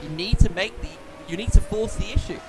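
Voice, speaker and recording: A man's voice: high voice, fairly quick, loud music behind the voice. A man commentating a sim race live, with a slightly nasal, posh-sounding voice and a chatty, explaining manner. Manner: animated, chatty, explains racing lines.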